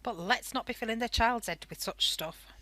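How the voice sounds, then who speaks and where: A woman's voice: a little high, normal speed, bright, forceful tone. A woman in a radio play acting a stern, protective wartime mother, clipped and scolding. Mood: snappy, scolding. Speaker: amateur radio play actor.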